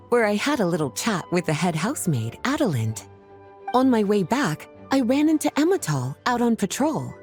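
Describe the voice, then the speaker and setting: A woman's voice: mid-range voice, mellow, close to an everyday voice. A woman voicing a worldly, self-assured and playful lady in a video game, articulate, teasing and affectionate. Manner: teasing, flirtatious, articulate, savoring her words. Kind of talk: scripted video game dialogue.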